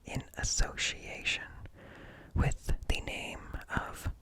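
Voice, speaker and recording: Guy whispering an asmr reading super close to the mic, really slow n deliberate, short hushed phrases. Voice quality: breathy, unvoiced whisper. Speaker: ASMR host. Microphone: close ear-to-ear microphone.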